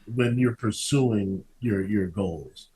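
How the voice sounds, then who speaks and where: A man hosting a casual interview podcast, speaking in an affirming, halting way and repeating himself as he goes. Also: lowish voice, crowd noise, poor audio.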